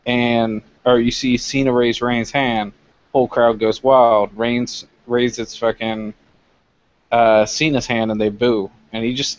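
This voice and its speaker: A man's voice: mid-range voice, muffled recording. A man chatting casually as co-host of a review show, giving opinions with a wry, teasing edge. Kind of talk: casual chat, opinionated commentary.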